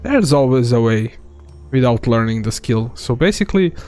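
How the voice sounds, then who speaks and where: A man's voice: mid-range voice, moderate pace, soft background music. A young man from Serbia with a light Serbian accent, giving rambling live game commentary full of fillers. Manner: relaxed, rambling, many fillers. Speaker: game streamer.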